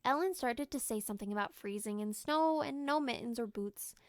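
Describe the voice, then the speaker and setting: Woman, read-aloud narrator, gentle, deliberate, expressive, creaky voice, dry recording. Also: fairly high pitch, no room sound. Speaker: storybook reader.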